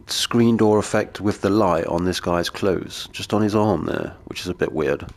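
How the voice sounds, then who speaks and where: Brief: British male gamer, slightly low voice, stuffy from a cold, eager and chatty. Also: darkish tone. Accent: British English. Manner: enthusiastic, chatty, gushing over detail. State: congested from a cold. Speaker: gaming video creator. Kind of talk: gameplay commentary.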